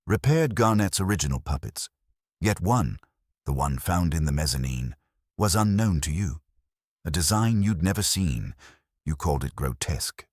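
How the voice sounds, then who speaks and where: Guy reading as a really low husky detective, formal and commanding, explains the case step by step, dry close sound. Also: animated, a little mellow, no room sound. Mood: probing, grave. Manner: measured, formal, deliberate. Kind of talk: dramatised audiobook detective dialogue.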